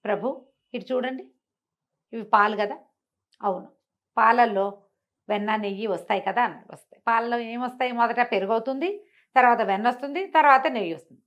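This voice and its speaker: A woman's voice: on the high side, a little fast, long pauses, fairly bright tone, lo-fi sound. A woman storyteller. She narrates a moral fable expressively, acting out the exchanges and putting questions to her listener as she explains.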